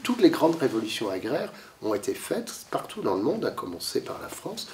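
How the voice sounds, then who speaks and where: A man's voice: mid-range voice, big pitch swings, normal speed, a little mellow, distant mic, slight background noise. A man with a cold giving a talk to a library audience, lecturing with lots of fillers and restarts, coughing now and then. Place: library meeting room.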